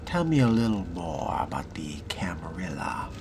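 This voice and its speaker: A man's voice: low-pitched, sing-song, moderate pace, music in the background. A man playing a cool, curious newcomer vampire in a video game role-play, talking in a laconic, casual way.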